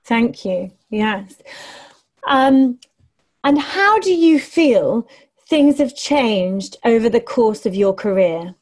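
A young woman hosts a webinar and reads out her questions politely and without hurry. Her voice sits a little high and her pace is slow. She speaks over a video call through wired earphones from a room at home. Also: expressive, a little mellow. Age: young adult. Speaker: webinar host. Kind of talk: moderating a webinar interview. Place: home room with window, indoors. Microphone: earphone headset on a video call.

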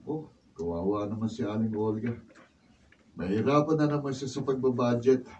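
A man's voice: mid-range voice, steady tone, moderate pace, lightly acted. A man with a Filipino accent playing a frank, teasing young friend in a radio drama. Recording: distant camera mic, faint noise.

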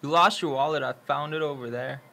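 A man's voice: on the high side, a little fast, gravelly. An actor playing a helpful, polite man in a radio play. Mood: friendly, polite. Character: helpful polite man returning a lost wallet. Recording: noisy outdoor scene.

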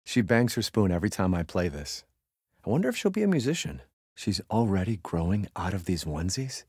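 An actor playing a gentle, affectionate father musing fondly about his baby daughter in a video game. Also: deep voice, sing-song, a little fast, slightly rough, big-room sound, heavily acted. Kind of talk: reflective video game voice acting.

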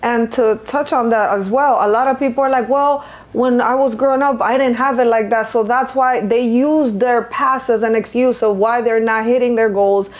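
A woman's voice: fairly high pitch, steady tone, normal speed, few pauses. A middle-aged woman from Venezuela co-hosting a podcast, telling stories earnestly in a casual chat and backing up her partner often. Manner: frequent "yeah" and "mm-hmm" backchannels, earnest storytelling. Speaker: podcast co-host.

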